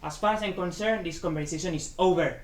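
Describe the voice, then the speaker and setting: A woman's voice: fairly low pitch, fast talker, darkish tone, natural voice. A woman acting a proud, stubborn Cuban husband in a dramatic play reading, emphatic and domineering, with Spanish endearments mixed in. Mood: angry. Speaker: student actor. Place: indoor room, live play reading. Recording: echoey room with faint background noise.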